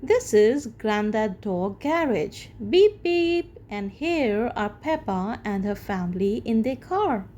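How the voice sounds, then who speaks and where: A woman reading a children's storybook aloud as the storyteller, with expressive, deliberate phrasing. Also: fairly high pitch, highs cut off.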